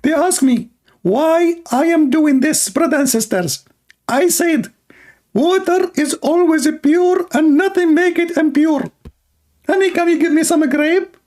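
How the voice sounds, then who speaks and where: A man mimicking a preachy male debater in a high, slow, sing-song mocking voice. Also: darkish tone.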